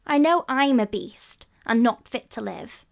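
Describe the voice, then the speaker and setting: A woman's voice: a little high, measured pace, vocal fry, darkish tone. A woman playing a blunt, scornful schoolboy in a storybook, a bossy and miserable older brother. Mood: scornful misery. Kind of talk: storybook dialogue acting.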